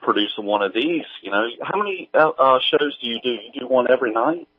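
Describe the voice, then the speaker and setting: A man speaking as an interview guest, chatting casually in a halting, folksy, informal way. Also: mid-range voice, lively intonation, no echo. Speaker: guest, audio drama creator. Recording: tinny, phone-like band-limited line.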